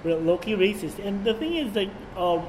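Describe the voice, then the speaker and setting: A young Filipino man with a high voice and a blended international English accent. He talks casually, full of slang and repetition, like a guest on a relaxed podcast. The sound has a long hall reverb and a little background noise. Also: normal speed. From Filipino raised in Saudi Arabia. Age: young adult. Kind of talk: casual chat.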